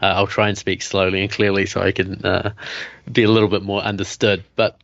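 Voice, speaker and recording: A man in his thirties with a New Zealand accent, telling his story as a podcast guest in a relaxed way with many fillers. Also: low voice, fairly quick, vocal fry, no echo, dull audio. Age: 30s. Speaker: guest, coach. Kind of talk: casual interview chat.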